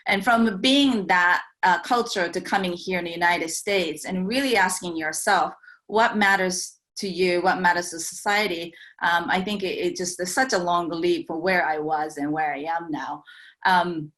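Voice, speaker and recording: A woman from Japan whose first language is Japanese, a startup founder speaking earnestly and haltingly on a remote video call. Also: lowish voice, fairly bright tone. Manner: earnest, halting, laughs easily. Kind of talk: virtual keynote conversation.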